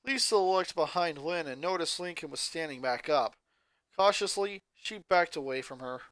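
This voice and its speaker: A man reading a fan story aloud with dramatic build-ups. His voice sits high and bright, and the close mic is bone dry. He narrates the action with plenty of drive. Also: expressive, moderate pace, smooth, no echo. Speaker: fanfic reader.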